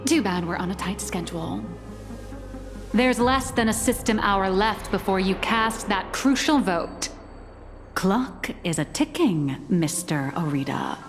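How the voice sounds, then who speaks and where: A woman's voice: mid-range voice, lively intonation, unhurried. An actress playing a teasing, self-assured woman of influence in a video game, with a playful, mocking delivery. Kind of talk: dramatic video game voice acting. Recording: long reverb, faint music behind. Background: faint music.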